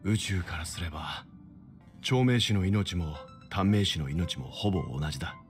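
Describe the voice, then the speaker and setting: Calm philosophical middle-aged game mentor, very low voice, music behind. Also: male voice. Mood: calm, reflective. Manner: measured, reflective. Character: composed, philosophical middle-aged male mentor, gentle and thoughtful. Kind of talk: anime-style video game acting. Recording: music under the voice.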